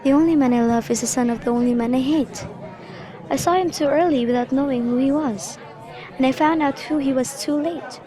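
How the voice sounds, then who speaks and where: A woman's voice: high-pitched, brisk, mellow, natural voice. A young woman playing a lovestruck, anxious young noblewoman in an amateur Shakespeare radio drama. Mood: lovelorn. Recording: crowd noise behind voice.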